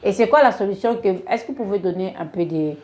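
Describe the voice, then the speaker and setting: A woman's voice: mid-range voice, animated, quick pace, a little mellow. A woman interviewing a guest, pressing him with pointed questions. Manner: probing, asks pointed questions. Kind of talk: political interview.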